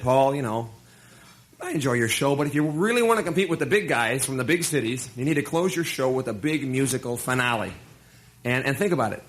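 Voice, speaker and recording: A man performing live stand-up comedy in a playful, mock-earnest manner. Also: medium pitch. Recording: live stage recording with music. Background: music.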